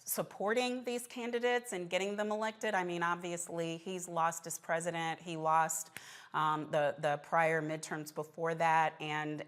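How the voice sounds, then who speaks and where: A woman hosting a political talk show, putting challenging, persistent questions to a guest. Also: deep voice.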